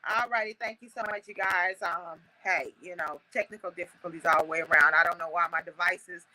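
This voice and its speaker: A woman hosts a casual online book show, speaking from her phone. Her voice is bright and a little sharp. She talks in a loose, folksy way, full of fillers and restarts. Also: on the low side, fairly even intonation, brisk, rough recording. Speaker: host. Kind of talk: casual livestream chat.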